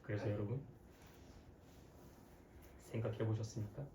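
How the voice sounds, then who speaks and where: A math teacher with a hoarse voice giving an interactive classroom lecture, playful and conversational, often posing questions to students. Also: mid-range voice, fairly even intonation, normal speed. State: hoarse, frequent throat clearing. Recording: echoey, distant mic, background noise.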